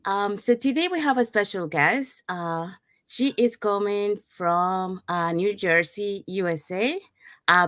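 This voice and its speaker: A woman from Panama hosting a live online business talk, welcoming and often pausing with "uh". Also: medium pitch, no echo, clean studio sound. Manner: welcoming, with frequent fillers. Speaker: host. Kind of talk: friendly webinar hosting.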